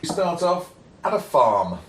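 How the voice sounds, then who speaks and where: A man's voice: a little high, big pitch swings, measured pace. A man in his thirties with an English accent, chatting casually about a film on a podcast in a rambling, hesitant way. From England. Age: 30s. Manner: rambling, hesitant with many fillers, chuckling. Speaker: podcast host. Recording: echoey room, faint noise.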